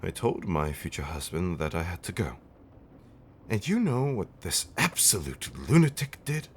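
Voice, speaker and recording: A man's voice: low voice, big pitch swings, moderate pace, slight background noise. A man playing an affectionate, centuries-old vampire husband in an ASMR roleplay, telling stories in a theatrical, teasing, old-fashioned way with dramatic swells and whispers. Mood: wistful, fond.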